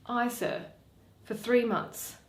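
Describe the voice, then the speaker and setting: Woman as earnest young gentleman, Shakespeare drama, courteous, insistent, lightly high pitch, echoey room. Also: steady tone, slow. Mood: pressing. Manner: earnest, courteous, pressing for an answer. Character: eager young gentleman seeking a loan. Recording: roomy echo.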